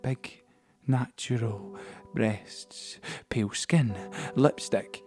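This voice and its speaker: Slow, halting man in a brooding audio drama. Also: medium pitch, a little mellow, soft background music. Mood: fixated. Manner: deliberate, halting short phrases. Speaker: actor and narrator. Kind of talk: dramatic audio play monologue.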